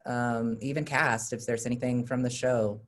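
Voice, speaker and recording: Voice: mid-range voice, steady tone, moderate pace. A person chatting casually and hesitantly on a video call, rambling a little while moderating questions. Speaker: moderator and theater collaborator. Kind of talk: casual chat.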